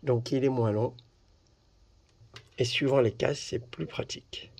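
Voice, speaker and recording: A man presenting a product in a casual hands-on demonstration, talking haltingly with pauses as he handles things. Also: medium pitch, steady tone, gravelly, a little mellow. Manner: halting, pausing while handling items. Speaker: video presenter reviewing a product.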